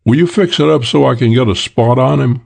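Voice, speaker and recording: Casting: male narrator as a hardboiled-story private detective, terse and practical, medium-pitched with a gravelly creak. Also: a little fast, a little mellow. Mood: brisk, matter-of-fact.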